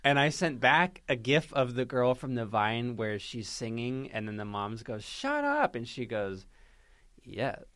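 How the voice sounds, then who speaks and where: Lively man bantering as podcast co-host. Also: mid-range voice, measured pace, no echo, clean studio sound. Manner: playful, animated. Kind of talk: casual comedic banter.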